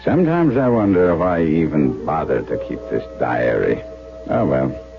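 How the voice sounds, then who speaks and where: An actor playing a resigned, patient middle-aged doctor, wry and weary, in a 1970s radio drama. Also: male voice, very deep voice, expressive, moderate pace, gravelly, exaggerated voice. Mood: weary, reflective. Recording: muffled old radio recording, music behind.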